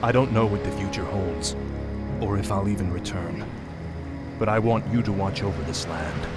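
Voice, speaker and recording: An actor playing a brooding, commanding undead prince in a fantasy video game cutscene, solemn and stern. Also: male voice, low voice, normal speed, over loud music, natural voice.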